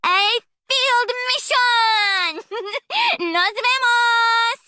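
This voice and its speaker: A woman's voice: very high-pitched, drawn-out words, sharp tone, a little hiss or hum, exaggerated voice. A woman voicing a playful, cheerful young adventurer woman in a game. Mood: teasing, exuberant. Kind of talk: game character voice line.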